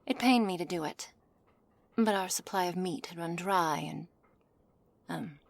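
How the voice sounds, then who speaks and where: A woman playing a haughty, condescending witch in an ASMR roleplay, speaking softly in measured, formal phrases with a commanding manner. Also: on the low side, creaky, no echo. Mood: musing, cool. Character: cold, haughty witch, imperious captor of a werewolf. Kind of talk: ASMR roleplay, scripted character acting. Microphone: close studio mic, dry.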